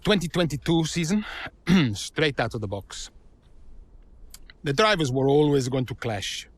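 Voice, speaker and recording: A man playing a reflective racing team boss, speaking in halting, deliberate phrases in an interview. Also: fairly high pitch, sing-song, dry recording. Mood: rueful. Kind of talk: documentary-style interview in a game cutscene.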